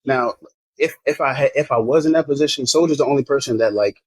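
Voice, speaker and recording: A man's voice: mid-range voice, fast, slightly rough, poor audio. A man from Maryland talking frankly on a podcast, rambling with lots of restarts and swearing. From Maryland, USA. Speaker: podcast guest. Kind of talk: casual podcast chat.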